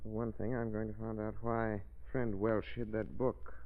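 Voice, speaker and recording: An actor playing a calm, urbane, curious gentleman sleuth in an old radio mystery, composed and polite. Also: fairly low pitch, normal speed, natural voice. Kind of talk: 1940s American radio mystery acting. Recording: dull old-time radio recording.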